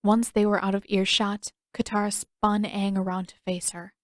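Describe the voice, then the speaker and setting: A woman's voice: mid-range voice, little pitch movement, normal speed, dry recording, studio quality. A woman reading scripted fiction as an audiobook narrator.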